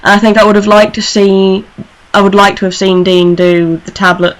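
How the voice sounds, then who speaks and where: A woman's voice: medium pitch, monotone, moderate pace, rough recording. A woman giving her opinion in a casual fan discussion. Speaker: fan radio panelist.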